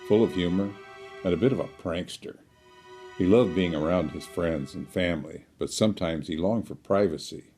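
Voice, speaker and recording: A man playing a courteous small-town storyteller in an audio drama, with a folksy, measured, old-fashioned politeness. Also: sits low, dark tone, breathy edge.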